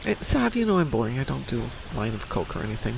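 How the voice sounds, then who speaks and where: A man's voice: mid-range voice, fast, gravelly, noisy background. A man from Chicago hosting a livestream, chatting casually while playing a game, a little stuffy from a cold. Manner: chatty, animated, reads viewer comments aloud. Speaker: stream host.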